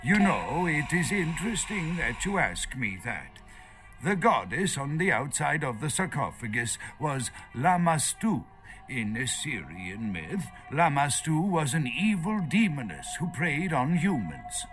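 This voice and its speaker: Game role: nervous captive archaeologist, bright lively voice, learned and hesitant, explains like a lecturer. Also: male voice, a little high, unhurried, soft background music. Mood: scholarly, animated. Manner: lecturing, hesitant, searching for words. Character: nervous, erudite male foreign archaeologist held captive. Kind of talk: video game voice acting.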